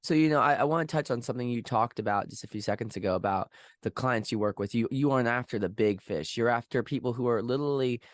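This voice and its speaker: A male host chats casually in a mid-pitched voice with a very dry sound. His questions ramble and he often stumbles, repeating words as he finds his way. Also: fast talker, no echo. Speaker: podcast interviewer, host. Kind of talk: casual interview chat.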